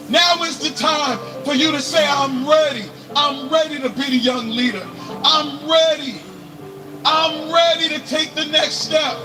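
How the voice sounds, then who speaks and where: Guy yelling a fired-up speech at students, really high voice, music blasting under it. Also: rough recording. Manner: shouting, rousing, repetitive. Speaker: motivational speaker. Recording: speech over loud background music.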